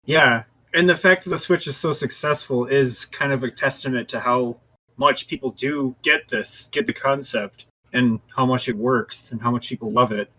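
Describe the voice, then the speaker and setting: A man's voice: mid-range voice, normal speed, bright, forceful tone, low-quality recording. A man co-hosting a gaming podcast, talking in a casual, conversational style. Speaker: podcast co-host.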